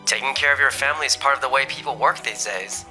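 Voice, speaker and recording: A man's voice: medium pitch, quick pace, bright, forceful tone, faint music behind. A man playing a polite, conscientious young father who works in an office, speaking earnestly in a manga dub. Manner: courteous, earnest. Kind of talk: scripted manga dub acting.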